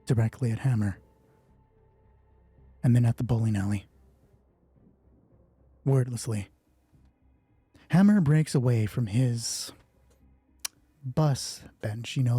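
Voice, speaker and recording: Slow, dark-voiced man narrating a game. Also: medium pitch, breathy edge, dry recording. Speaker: solo roleplay host and game master. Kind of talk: dramatic narration of a tabletop game.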